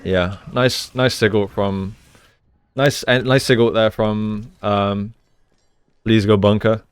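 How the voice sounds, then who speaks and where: A man giving gameplay analysis commentary as a coach, explaining in a measured way and repeating phrases for emphasis. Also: fairly low pitch, level tone, clear, resonant tone, no room sound, clean studio sound. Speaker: esports coach, video host.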